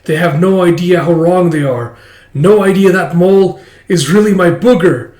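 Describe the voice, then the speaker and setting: A man's voice: high voice, normal speed, darkish tone, pitched above the performer's own voice, natural voice. A man voicing a panicky, self-pitying schoolboy who feels doomed, in a comic story. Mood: panicked despair. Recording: roomy, echoey sound.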